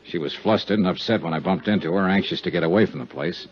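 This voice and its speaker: An American actor playing a wry, hard-boiled insurance investigator in a 1950s radio drama, with clipped, punchy delivery. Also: male voice, deep voice, quick pace, slight background noise, close to an everyday voice. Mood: brisk, serious. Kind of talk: 1950s radio detective drama acting, narrated expense account. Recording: dull 1950s radio recording with music.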